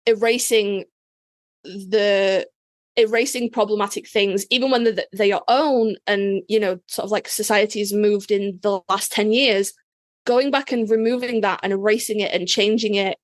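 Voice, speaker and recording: A woman's voice: medium pitch, steady tone, normal speed, no room sound. Woman, podcast chat, hesitant, repeats herself, dry close recording. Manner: hesitant, restarts and repeats words. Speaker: podcast participant. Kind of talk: casual podcast conversation.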